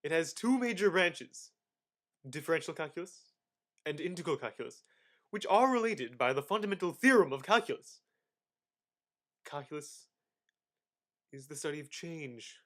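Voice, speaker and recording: A man giving a mock-dramatic scripted reading in a theatrical manner, with halting pauses and swings between hushed and loud delivery. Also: fairly high pitch. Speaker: reader.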